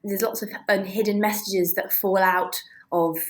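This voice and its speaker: Halting, reflective woman with mid-pitched voice. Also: fairly even intonation. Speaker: interview guest, author. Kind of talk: conversational interview answers.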